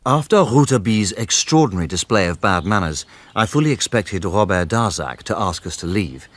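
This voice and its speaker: An actor playing a loyal, mildly put-upon gentleman companion who narrates a radio mystery drama. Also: fairly low pitch, lively intonation. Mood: measured storytelling. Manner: measured storytelling, hesitant and long-suffering in dialogue.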